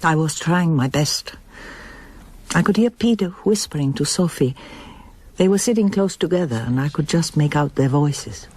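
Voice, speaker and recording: An actress playing a reserved, probing middle-aged mother in a radio drama, restrained and pointed, with a husky voice. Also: sits low, expressive, moderate pace, a little mellow. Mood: reflective. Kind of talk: radio drama acting with narration.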